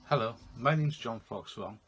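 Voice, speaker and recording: A man's voice: mid-range voice, normal speed, fairly bright tone. A man in his late fifties with a British accent, giving a personal account as a patient. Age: 50s. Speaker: patient sharing experience. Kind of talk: personal testimony. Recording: faint background noise.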